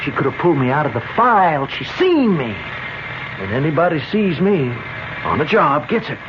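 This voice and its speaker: A cornered, boastful street killer in a vintage radio thriller. His voice sits fairly high and swoops about as he bullies in slangy threats. The old recording is muffled, with clear background noise. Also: sing-song, moderate pace. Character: cornered young thug killer, jumpy, bullying, boastful. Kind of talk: old-time radio drama acting. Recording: dull, noisy old radio broadcast recording.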